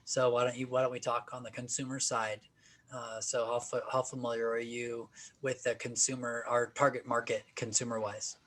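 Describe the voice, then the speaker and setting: A man conducting a practice job interview, asking questions in a measured way with occasional fillers. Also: mid-range voice, level tone, fairly bright tone.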